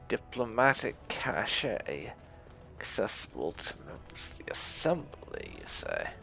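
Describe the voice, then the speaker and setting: A man giving casual, musing roleplay commentary over a video game, with a gravelly voice. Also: fairly low pitch, huge pitch swings, slow pace, pushed and bright, soft background music. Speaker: gaming video host.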